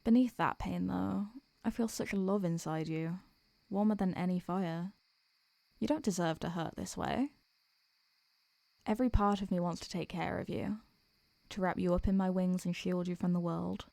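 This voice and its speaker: An ancient female succubus, acted by a woman, tender and protective in an intimate roleplay. Her soft voice is warm and dark with a creaky edge, recorded very dry. She speaks gently and without hurry, wistful and affectionate. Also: lowish voice, no echo. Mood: concerned, caring. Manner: gentle, affectionate, unhurried, murmuring endearments. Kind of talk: intimate soothing roleplay audio, ASMR-like.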